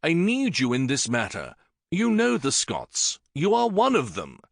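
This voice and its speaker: A man voicing a commanding older king who speaks in a measured, regal way. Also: fairly high pitch, huge pitch swings, gravelly, dry recording, studio quality, pitched above the performer's own voice, heavily acted. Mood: persuasive. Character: commanding older king, warm yet persuasive.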